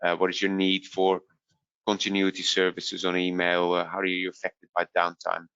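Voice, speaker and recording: Dutch-accented man, low voice, halting with fillers, dry muffled line. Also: monotone, a bit bright, smooth, dry recording. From Netherlands. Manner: hesitant, many fillers and restarts. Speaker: vendor product specialist. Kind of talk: webinar Q&A answer.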